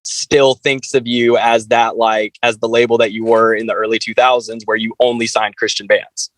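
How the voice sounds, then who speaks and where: A man's voice: mid-range voice, flat delivery, normal speed, fairly bright tone, no echo, low-quality recording. A man speaking as an interview guest in casual podcast chat, blunt and candid, swearing freely and peppering his talk with "like". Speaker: band frontman, interview guest.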